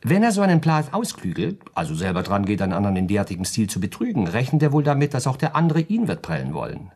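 An actor playing an urbane, pedantic lawyer in a radio play, reasoning coolly and politely in a precise, lecturing manner. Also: male voice, on the low side, huge pitch swings, fast talker, darkish tone, breathy edge, full character voice. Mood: dry, polite. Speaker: lawyer giving legal advice. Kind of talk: German radio play dialogue.

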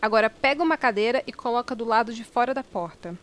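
A woman's voice: on the high side, lively intonation, quick pace, fairly bright tone, slight background noise. A woman playing a bossy, sarcastic, impatient character in an audio drama, with a Brazilian accent and slangy speech. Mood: stern.

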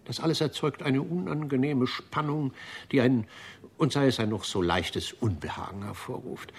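An actor playing a pompous, fastidious gentleman gourmet in a German radio drama, lecturing formally and rhapsodizing about food. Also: medium pitch, gravelly, breathy edge. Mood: lecturing, fervent. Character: pompous, fastidious gentleman gourmet, obsessively devoted to one restaurant.

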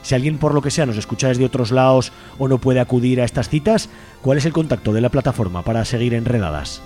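A man's voice: mid-range voice, fast, warm tone, faint music behind. A man with an accent from Spain, presenting a radio show in a conversational way. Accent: Spanish from Spain.

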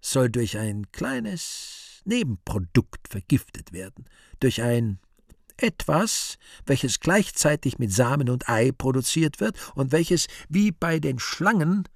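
A sardonic devil in a radio play, speaking with a gravelly edge and wide swings of pitch. He is theatrically courteous and insinuating, selling his schemes like a sly merchant. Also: big pitch swings, takes it slowly, no echo, studio quality, full character voice. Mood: scheming. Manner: theatrical, mock-polite, insinuating. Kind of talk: radio play acting, reading own stage directions.

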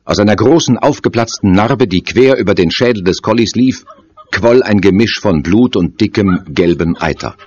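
A man's voice: on the low side, animated, normal speed, a little mellow, husky. A man narrating a German horror audio drama as a grave, dramatic storyteller. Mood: grave, lurid. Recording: dull audio-drama tape.

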